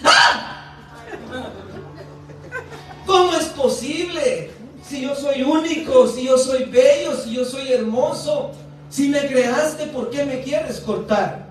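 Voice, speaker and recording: A man voicing a vain, boastful oak tree in a parable, preening and melodramatic with drawn-out cries. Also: extremely high pitch, voice pushed up. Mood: wailing, protesting. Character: vain narcissistic male oak tree, boastful, then wailing. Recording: echoey hall, far from mic, faint music.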